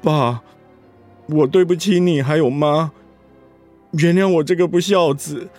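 Slow, high-voiced earnest grown son played by a man. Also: darkish tone, faint music behind, close to an everyday voice. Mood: subdued remorse. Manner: earnest, pleading. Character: remorseful grown son, dutiful but conflicted husband. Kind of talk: Mandarin radio drama acting.

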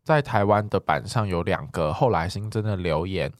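A talkative, expressive man co-hosting a podcast, chatting casually as he replies to listener comments. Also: low-pitched, moderate pace, no room sound, clean studio sound. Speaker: podcast co-host.

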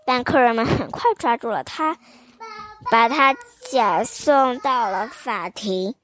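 A young woman reading a fairy tale aloud to children in a gentle, sing-song, child-directed manner. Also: a little high, slow pace, a little hiss or hum. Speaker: children's storyteller host.

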